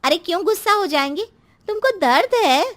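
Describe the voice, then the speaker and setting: A woman's voice: very high-pitched, expressive, normal speed, fairly bright tone, voice pushed up. A woman voicing a concerned, practical female neighbour in a cartoon story, urging and advising.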